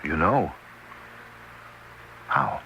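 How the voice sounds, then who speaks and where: Exasperated husband, emphatic, animated intonation, sharp lowish voice, 1970s radio drama, faintly hissy recording. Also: male voice, extremely slow, lo-fi sound, heavily acted. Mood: incredulous, rattled. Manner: emphatic, incredulous, fretful. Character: exasperated, harried husband caring for his sick wife. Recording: hissy vintage radio broadcast.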